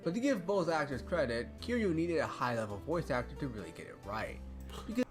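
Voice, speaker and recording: A man giving scripted, measured and analytical narration for a video essay. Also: a little high, big pitch swings. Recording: narration played over music.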